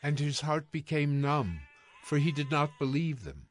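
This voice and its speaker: A man narrating a dramatized Bible recording in a measured, storytelling manner. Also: on the high side, big pitch swings. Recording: music behind the voice.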